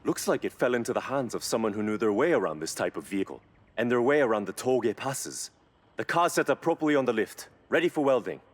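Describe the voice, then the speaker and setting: A man's voice: medium pitch, expressive, fast, natural voice. A man playing an earnest, knowledgeable car mechanic in video game cutscenes, explaining things with reverence for engines. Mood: earnest, admiring.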